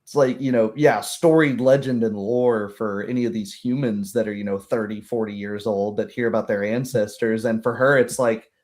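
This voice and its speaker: A man's voice: on the low side, steady tone, normal speed, hardly any pauses, a little mellow. A man working as a game master, chatting casually on a stream and explaining his rulings in a rambling way.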